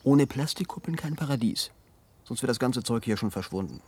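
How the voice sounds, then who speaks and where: Hushed, wary man in a radio play. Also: mid-range voice, lively intonation, brisk, husky. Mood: wary, hesitant. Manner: hushed, hesitant, partly whispered. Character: wary male worker in a futuristic animal park. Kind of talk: German science-fiction radio play acting.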